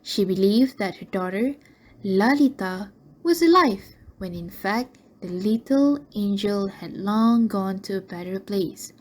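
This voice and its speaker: A woman's voice: mid-range voice, lively intonation, measured pace. A woman narrating a scripted radio drama in a storytelling style.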